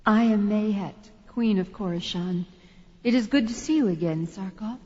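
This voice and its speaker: A woman's voice: mid-range voice, normal speed, a little mellow, lightly acted. A woman playing a composed, regal queen in a fantasy audio drama, speaking formally. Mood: stately. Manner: stately, formal. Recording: muffled, long hall reverb.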